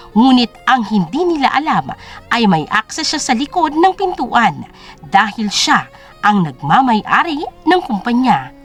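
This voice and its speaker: A woman narrates a scripted drama as storyteller. Her voice is very high and widely varied in melody, with faint music playing underneath.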